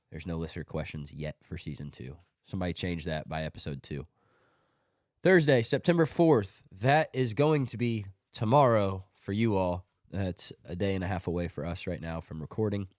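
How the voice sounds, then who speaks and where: Male podcast host, low voice, slightly congested, dry close sound, offhand joking read. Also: darkish tone, no echo. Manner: offhand, joking, talks through his picks. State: congested from a cold. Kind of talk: casual sports podcast monologue.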